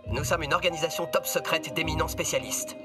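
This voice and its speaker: A man's voice: a little high, fairly quick, music in the background, natural voice. A man voicing a secretive, self-important male alien conspiracy theorist in a cartoon dub, solemn and conspiratorial. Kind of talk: animated cartoon dub acting.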